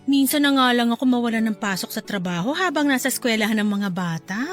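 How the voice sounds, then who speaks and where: A woman's voice: fairly high pitch, expressive, fast talker, soft background music, lightly acted. A woman playing a seductive, clingy mistress in a radio drama, coaxing and pleading.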